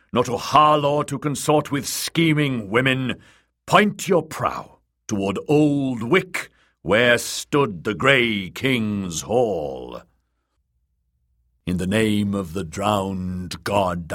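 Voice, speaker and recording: A man's voice: on the low side, fairly bright tone, voice pushed up, full character voice. A man voicing a bony, fervent priest-prophet, solemn and commanding, preaching in a fantasy audiobook. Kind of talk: dramatised audiobook character voice.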